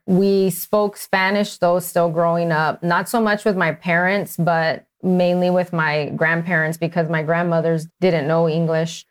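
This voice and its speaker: A woman from Texas, a physician guest, answering interview questions in a measured, earnest way with small restarts. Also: on the low side.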